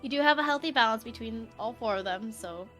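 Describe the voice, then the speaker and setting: A woman's voice: fairly high pitch, animated, a little fast, fairly bright tone, faint music behind. A woman hosting a casual live stream as a tarot reader, giggly and informal in manner.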